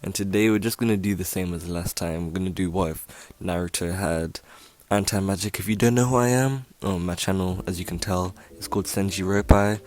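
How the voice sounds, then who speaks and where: A man's voice: deep voice, a little fast, a little mellow. A young man casually retelling a fan story as a YouTuber, rambling and correcting himself, in a voice he calls boring. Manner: rambling, lots of fillers and self-corrections; says he has a really boring voice.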